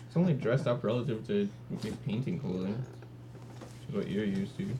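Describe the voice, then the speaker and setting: A man's voice: fairly low pitch, moderate pace, mellow, a little hiss or hum. A young man in casual conversation, speaking in a playful, informal way. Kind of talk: casual chat.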